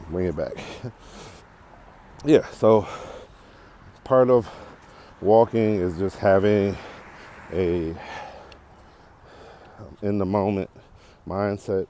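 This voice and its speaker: A man's voice: low-pitched, slow pace, long pauses, warm tone, a little hiss or hum. An American man giving a casual, unscripted podcast monologue, rambling and thinking aloud while out walking. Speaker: podcast host. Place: outdoors, walking on a path.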